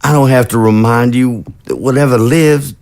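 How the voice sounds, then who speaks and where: An actor in an audio drama playing a troubled undead president who is an anxious, tender father, speaking in a halting, earnest way. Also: medium pitch, a little mellow, close to an everyday voice. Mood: anguished, hesitant.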